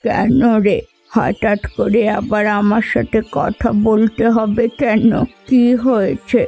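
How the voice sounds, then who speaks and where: Woman playing a creaky, teasing old village woman. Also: a little high, steady tone, slow, darkish tone, soft background music, full character voice. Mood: curious, teasing. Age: older. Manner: mocking, blunt, moralizing. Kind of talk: Bengali fairy-tale cartoon voice acting.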